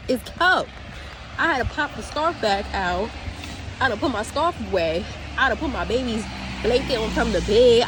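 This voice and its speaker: A woman's voice: fairly high pitch, background noise. Chatty congested young woman on the street. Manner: chatty, exasperated, drawn-out complaints. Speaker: vlogger. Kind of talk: casual vlog to phone camera. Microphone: phone camera.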